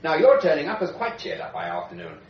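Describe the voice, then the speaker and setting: Breezy British male painter, flippant and slangy, higher-pitched, swooping delivery, old radio play. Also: huge pitch swings, fast talker. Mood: jaunty, teasing. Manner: jaunty, bantering, old-fashioned slangy speech. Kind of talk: British radio drama acting. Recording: muffled, noisy old radio drama recording.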